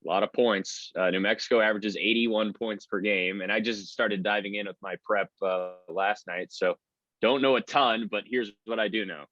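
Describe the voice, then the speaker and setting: Low-voiced man talking sports, dull audio. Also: steady tone, normal speed, a bit bright, no echo. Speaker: sports radio play-by-play announcer. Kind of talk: conversational sports analysis in a podcast interview.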